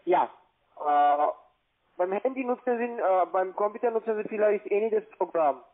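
A man with a high voice and a foreign accent works a cold call. He is pushy with his instructions, speaking in halting, broken phrases as a non-native speaker. The line is muffled and lo-fi. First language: not German. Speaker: cold-calling call-centre agent.

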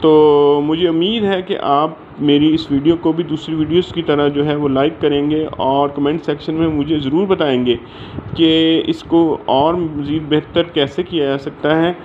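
A man's voice: on the high side, fairly even intonation, normal speed. A man with a Pakistani accent explaining material in an informal lecture, leaning on filler phrases, recorded on a phone. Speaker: law lecturer. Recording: dull lo-fi audio with faint background noise.

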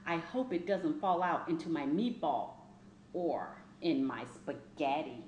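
Female storyteller, middle-aged, slightly low voice, deliberate expressive read-aloud for kids, roomy echoing home recording. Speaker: teacher reading a children's book aloud. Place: small plain indoor room. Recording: roomy echo, faint background noise.

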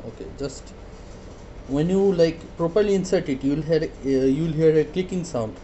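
A man demonstrating a product casually, hesitant and halting, often repeating his words. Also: a little high, warm tone, slight background noise. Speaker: product reviewer.

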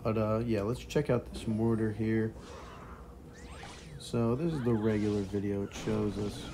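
Young male game commentator, hoarse from a lost voice, lowish pitch, laid-back, quiet chuckles, noisy recording. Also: a little slow. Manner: relaxed, chuckles quietly while playing. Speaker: mobile game streamer. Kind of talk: casual gameplay commentary.

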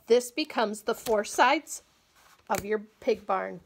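A woman educator giving a clear, patient, step-by-step craft demonstration at a table indoors. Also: medium pitch, takes it slowly, slight background noise. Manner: clear, patient instructional delivery. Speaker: agriculture educator. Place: small indoor room, table-top demo.

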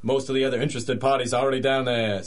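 A man acts a small-time male crook in a pulp radio drama. He is gruff and surly, talking in tough gangster slang at a middling pitch. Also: level tone, rapid-fire, lightly acted. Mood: bossy, impatient. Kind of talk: 1930s-style radio drama acting.

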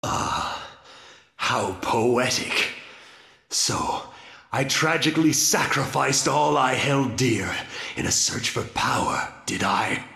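A man's voice: medium pitch, slow, vocal fry, sharp tone, exaggerated voice. A man voicing an imperious ancient vampire lord in a video game, with grandiose, archaic, theatrical delivery. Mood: shocked, bitter. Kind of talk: melodramatic video game villain acting. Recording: echoing game audio.

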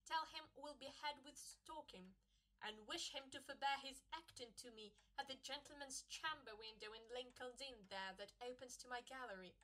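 A woman playing a proud, quick-witted young wife in an audio drama, speaking formally and theatrically as in early stage comedy. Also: high voice, bright, forceful tone, rough recording. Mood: indignant. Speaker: amateur actor.

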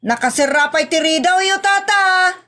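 A woman playing a brisk, nagging village woman in a comedy drama, chiding and chatty with an Ilocano accent. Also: extremely high pitch, sharp tone. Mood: scolding.

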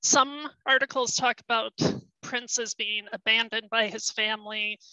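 Woman historian with a bright, dry voice. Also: on the high side, steady tone, measured pace, dry recording, rough recording. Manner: explanatory, careful, with frequent ums and self-corrections. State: losing her voice. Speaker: historian presenting research. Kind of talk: webinar presentation.

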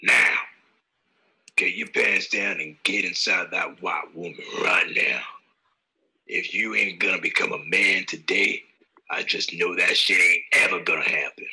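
Man acting out a gravelly, brutal outlaw father. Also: deep voice, fairly even intonation, a little slow, bright, forceful tone, exaggerated voice, rough recording. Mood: angry contempt. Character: brutal, sadistic, foul-mouthed Western outlaw father. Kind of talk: radio drama acting. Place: home, on a group video call.

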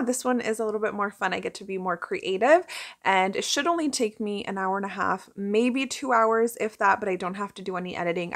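A woman's voice: mid-range voice, a bit bright. Young woman chatting casually. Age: young adult. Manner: chatty, informal. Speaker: vlogger and working actor. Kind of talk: casual first-person vlog to camera.

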